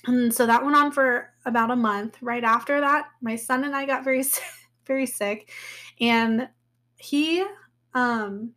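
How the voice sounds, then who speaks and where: A woman in her thirties hosting her own podcast, talking candidly and casually with a slightly hoarse voice, often laughing and using fillers. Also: a little high, fairly even intonation, unhurried, a bit bright. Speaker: podcast host. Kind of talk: casual personal podcast talk.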